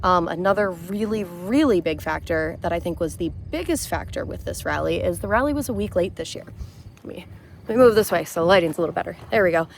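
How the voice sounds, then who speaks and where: Woman, hoarse, lowish voice, chatty vendor vlog, outdoor campground, faint traffic behind. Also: lively intonation, quick pace. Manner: chatty and matter-of-fact, frequent ums. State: hoarse, losing her voice. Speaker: rally vendor. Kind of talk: casual vlog chat to camera.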